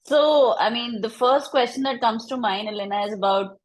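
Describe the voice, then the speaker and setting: A woman hosting an interview podcast, asking questions in an informal, halting way. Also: a little high. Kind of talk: casual interview.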